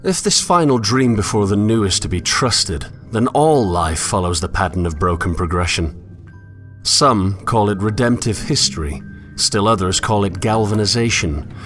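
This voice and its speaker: An actor playing a melancholy, introspective wanderer who narrates a game in a measured, reflective, literary manner. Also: male voice, fairly low pitch, a bit bright. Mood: philosophical. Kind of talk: scripted poetic game narration.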